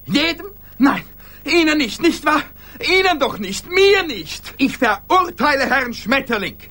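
An actor playing an anxious, indignant, stubbornly principled office clerk in a German radio drama, halting and repeating himself. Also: male voice, very high voice, sing-song, sharp tone, full character voice. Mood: indignant, agitated.

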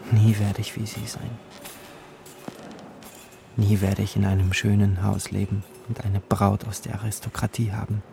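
An actor playing a bitter, dreamy poor youth, narrating in a brooding, intimate, gravelly way in a literary radio drama. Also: male voice, lowish voice, level tone, normal speed, pauses often, background noise. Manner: brooding, intimate, sometimes whispering.

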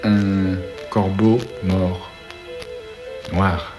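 A man with a low-pitched voice tells a story reflectively. He moves in short, halting phrases, leaving gaps between them. Music plays clearly behind him. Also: level tone. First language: French. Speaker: composer telling his story.

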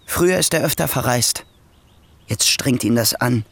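A man's voice: medium pitch, normal speed, vocal fry, a bit bright, husky, no echo. An actor playing a bitter young nephew who is in debt and hates his prospects, talking in a sullen, brooding way in a radio play. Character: bitter young male nephew, indebted and resentful.

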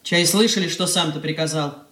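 An actress in a radio drama playing a blunt family nanny, dour, grumbling and reproachful. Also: female voice, sits low, fairly quick, a bit bright. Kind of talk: Russian stage-style radio drama acting. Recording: distant, echoey studio pickup.

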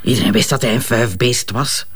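A blunt, worn-out Flemish wife. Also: female voice, extremely low pitch, fairly quick, breathy edge, heavily acted. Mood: scornful. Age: middle-aged. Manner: frank, sardonic, unabashed. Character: blunt, cynical, worn-out betrayed wife, middle-aged. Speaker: actor. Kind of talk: audio drama dialogue.

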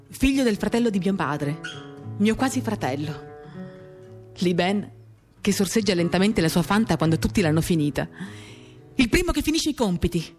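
A woman giving a literary dramatic reading of a first-person monologue, measured, with pauses between short phrases. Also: mid-range voice, darkish tone. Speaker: reader of a novel, performing a radio reading. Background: acoustic guitar music.

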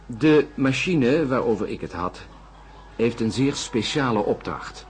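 An actor playing an intense, insistent astronaut in a radio drama, speaking emphatically with weighty pauses. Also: male voice, mid-range voice, expressive, lightly acted. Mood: expounding. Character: intense, insistent male astronaut expounding his theory. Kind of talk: Dutch science fiction radio drama.

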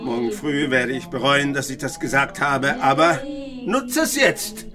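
A man's voice: medium pitch, big pitch swings, a little slow. An actor playing a grumpy old misanthropic grandfather in a German radio drama, with a sardonic, brooding, dramatic delivery. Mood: exasperated, angry. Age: older. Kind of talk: German radio drama acting, dialogue and inner monologue.

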